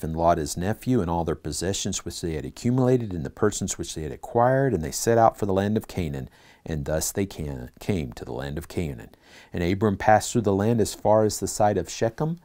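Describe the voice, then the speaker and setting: Low, mellow-voiced man teaching Bible class. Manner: earnest, asks the class questions, reads scripture aloud. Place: church classroom.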